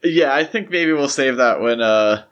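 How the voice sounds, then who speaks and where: A man's voice: mid-range voice, lively intonation, normal speed, fairly bright tone, highs cut off. A man hosting a podcast, talking through film history in a casual, conversational style. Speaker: podcast host.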